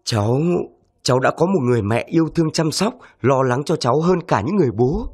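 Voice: medium pitch, expressive, quick pace, breathy edge. An actor voicing a kindly, fatherly adult bird in a Vietnamese cartoon, patient and encouraging as a teacher. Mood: gentle. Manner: gentle, instructive, encouraging. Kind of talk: Vietnamese animated film dialogue. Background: music.

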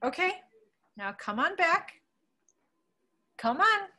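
A woman reading a bartender who calms and scolds a friend, in a table read over a video call, soothing and bossy. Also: very high voice, takes it slowly, a little hiss or hum, full character voice.

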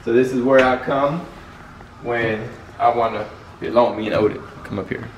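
A man's voice: mid-range voice, moderate pace, recorded from a distance, a loud crowd. A young man chats easily through a house tour, sprinkling in fillers and laughs. His voice sits a little away from the camera mic. The room around him rings and echoes. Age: young adult. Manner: relaxed, filler-heavy, laughs often. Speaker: vlogger giving a house tour. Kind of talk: casual house tour chat. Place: large house with game room, indoors. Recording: roomy, off-mic with faint room noise.

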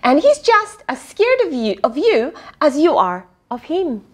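A woman's voice: high-pitched, huge pitch swings, a little slow, pitched above the performer's own voice, full character voice. A woman voicing an eager, earnest little boy in a children's storybook, speaking emphatically. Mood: earnest, reassuring. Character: eager little boy who loves his spider friend. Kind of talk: children's storybook read-aloud.

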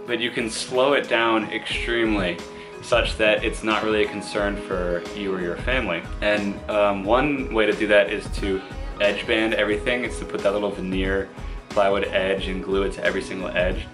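Raspy sick-sounding guy filming himself in a bus, kinda low voice, music playing underneath. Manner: easygoing, explains step by step. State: hoarse from a cold. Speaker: vlogger doing a bus conversion. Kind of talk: casual how-to vlog. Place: inside a gutted school bus. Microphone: camera held at arm's length.

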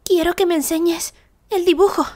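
Woman voicing a shy, breathy anime schoolgirl. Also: very high voice, steady tone, moderate pace. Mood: earnest, pleading. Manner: soft-spoken, hesitant, breathy. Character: shy, apologetic, earnest teenage anime schoolgirl. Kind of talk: anime fan dub acting.

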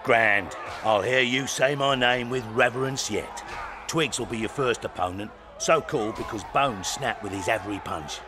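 An actor playing a boastful, scheming fight promoter in a video game, with a grandiose way of talking. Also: male voice, mid-range voice, moderate pace, crowd noise, background noise, natural voice. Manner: grandiose, speaks of himself in third person.

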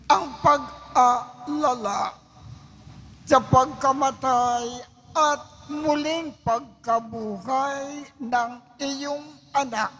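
Very slow, solemn male priest. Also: very high-pitched, sharp tone, a little hiss or hum. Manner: solemn, measured, deliberate phrasing. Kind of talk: reciting the Mass liturgy. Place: large church.

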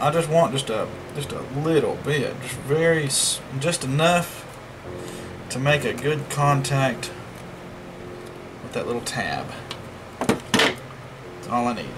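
Casual man, noisy home recording. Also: mid-range voice. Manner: informal, folksy, thinking aloud. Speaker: host of a DIY electronics repair vlog. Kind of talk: casual hands-on commentary while working. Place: indoors at a wooden work table. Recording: home recording with clear background noise.

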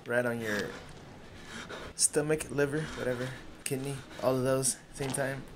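A young man giving casual, profane and excitable commentary while streaming a game on a desk microphone. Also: mid-range voice, unhurried, background noise. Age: young adult. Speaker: gaming streamer. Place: small room at home. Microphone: close desk microphone with headset. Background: loud game music and sounds.

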